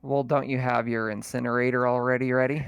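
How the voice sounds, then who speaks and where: A man running a tabletop horror game as game master, describing the scene in a halting way with frequent ums. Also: medium pitch, little pitch movement, gravelly, smooth, no room sound. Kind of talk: narrating a tabletop game over voice chat.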